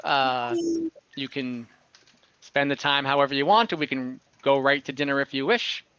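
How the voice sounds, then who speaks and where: A man narrating an online tabletop game as game master, in a halting, informal way with chuckles. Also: mid-range voice, pushed and bright, smooth, no echo, highs cut off. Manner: halting, chuckling, voices characters casually.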